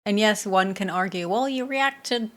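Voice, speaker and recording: A woman's voice: medium pitch, moderate pace. A woman from the Bay Area in California hosts a reaction video, chatting casually, laughing often and talking back to the clip. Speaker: reaction channel host.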